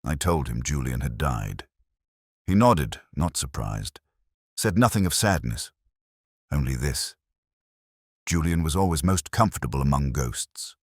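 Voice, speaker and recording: Brooding older detective, male actor, first-person mystery narration; bottom-register husky voice, literary and melancholy, recorded close and dry. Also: extremely low pitch, big pitch swings, unhurried, pauses often, no room sound, heavily acted. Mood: wary, observant. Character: brooding, world-weary older male English detective, narrating his case.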